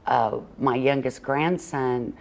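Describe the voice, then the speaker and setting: Very low-voiced older woman. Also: takes it slowly, vocal fry, a little mellow. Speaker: interview guest, children's book author and illustrator. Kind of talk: conversational interview answers. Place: TV morning show interview.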